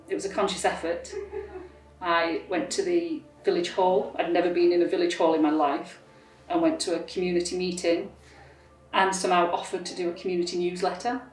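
A measured woman in her forties from South Yorkshire, England, with a slightly low voice. She is picked up from a distance in a roomy space. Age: 40s. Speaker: interviewee. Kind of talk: documentary interview. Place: indoor room by large windows. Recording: roomy, distant camera mic.

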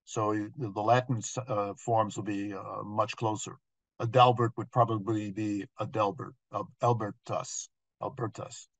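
A man's voice: fairly low pitch, steady tone, unhurried, no room sound, rough recording. A man giving an informal slide lecture in a hesitant, explanatory, conversational way. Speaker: guest lecturer on genealogy.

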